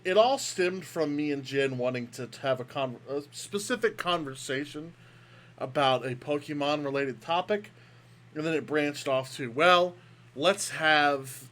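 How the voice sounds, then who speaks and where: A man chatting as co-host of a casual gaming podcast, recorded over a remote call. His voice sits at a middle pitch, and he rambles, circling back and restarting sentences as he thinks aloud. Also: fairly bright tone. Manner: rambling, restarts often, playful grandiose greeting. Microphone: microphone over a remote call.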